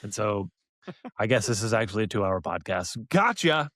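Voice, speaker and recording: A man's voice: fairly low pitch, animated, moderate pace, fairly bright tone, dry recording, studio quality. A man hosting a podcast in casual chat, with a joking, playfully teasing manner. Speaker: podcast host.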